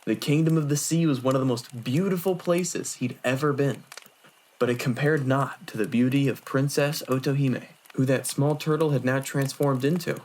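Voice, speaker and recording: A man's voice: medium pitch, steady tone, normal speed, a little mellow, slight background noise, natural voice, low-quality recording. Man acting as Miroku, a theatrical flirtatious storyteller. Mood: storytelling. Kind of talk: fan audio drama acting.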